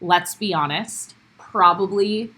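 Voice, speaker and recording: A woman's voice: on the low side, takes it slowly, sharp tone. A young woman co-hosting a casual recap podcast from home, upbeat, laughing often and greeting viewers by name. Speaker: podcast co-host. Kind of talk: casual recap chat, live stream. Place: home bedroom.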